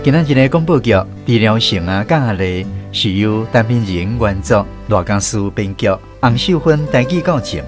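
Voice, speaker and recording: A man hosting a radio programme, reading out a scripted introduction as its announcer. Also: mid-range voice. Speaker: radio show host and announcer. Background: instrumental music.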